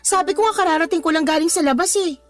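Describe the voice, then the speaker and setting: A woman voicing a young girlfriend in a radio drama. She answers back with quick, exasperated retorts. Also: very high voice, soft background music. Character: patient young girlfriend who stands her ground. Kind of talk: Tagalog radio drama acting.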